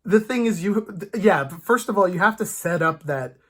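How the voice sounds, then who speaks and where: A podcast host chatting casually as a fan, in an animated, rambling, stop-start way with playful asides. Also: mid-range voice, moderate pace. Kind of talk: casual comic book fan chat.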